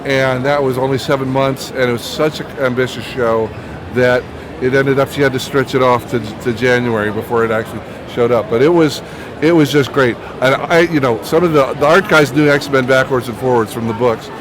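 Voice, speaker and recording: A man's voice: mid-range voice, steady tone, fairly quick. A middle-aged or older man answering as an interview guest, telling casual anecdotes and often restarting his phrases. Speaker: interview guest, TV writer and producer. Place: busy comic convention. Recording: noisy outdoor-sounding convention recording with traffic-like din.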